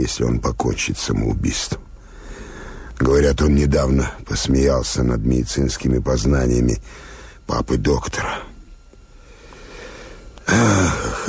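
A man playing a grave, weary elderly doctor in a radio drama, speaking deliberately and gently with resignation. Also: dry recording, exaggerated voice. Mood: sombre, resigned. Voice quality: gravelly, husky deep voice. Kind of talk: Soviet radio drama acting.

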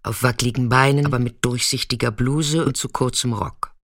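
Guy reading a dark literary story out loud, dramatic and deliberate, medium pitch, really dry sound. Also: fairly even intonation, no room sound. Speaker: narrator and performer of a literary monologue. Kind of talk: dramatic scripted narration, literary stage reading.

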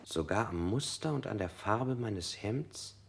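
An actor playing an anxious male suspect in a radio play, narrating in a measured, introspective way. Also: lowish voice, animated, vocal fry, background noise. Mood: uneasy. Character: anxious, guilt-ridden male suspect under interrogation. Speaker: first-person narrator.

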